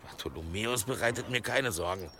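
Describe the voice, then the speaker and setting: A man's voice: lowish voice, brisk, background noise, natural voice. A man in a German radio drama playing a protective, worried monk who speaks in a subdued, earnest way.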